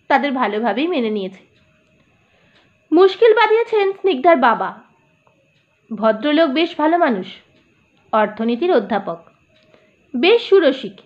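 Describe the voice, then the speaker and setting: A woman narrating a short story in a measured, gently expressive reading. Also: high voice. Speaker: audiobook narrator. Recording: dull lo-fi recording.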